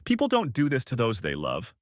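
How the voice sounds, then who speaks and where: A man's voice: mid-range voice, wildly swooping pitch, brisk, dry recording, studio quality. A man voicing a betrayed husband in a story, cold, cutting and bitterly angry. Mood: icy. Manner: cutting, accusatory.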